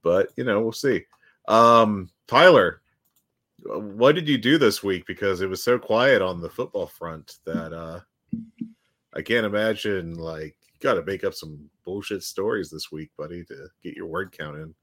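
A man co-hosting a podcast with a husky voice as he gets over a cold, rambling and joking with lots of ums and uhs. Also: low voice, unhurried, fairly bright tone. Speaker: podcast co-host. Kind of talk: casual chat.

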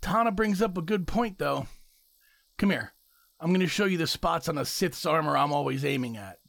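A man's voice: fairly high pitch, moderate pace, vocal fry, fairly bright tone, no echo. A man playing a cocky, playful uncle, a seasoned fighter, teasing and confident in a sci-fi audio drama.

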